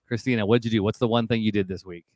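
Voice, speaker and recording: A man's voice: on the low side, steady tone, rapid-fire, a little mellow, no room sound, clean studio sound. A man hosting a live web show from his office headquarters, upbeat and encouraging. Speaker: host.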